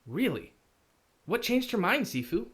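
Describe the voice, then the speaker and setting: A young student hero played by a man with a lighter, higher male voice that moves around a lot. He sounds earnest and sharp, questioning what he is told, in visual novel style. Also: big pitch swings, measured pace, heavily acted. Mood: sceptical.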